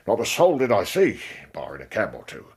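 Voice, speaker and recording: A man acting in a radio drama as a chatty, plain-spoken Victorian police constable with a London accent, rambling colloquially in a husky voice. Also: on the low side, huge pitch swings, a little fast, full character voice. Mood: chatty, confiding.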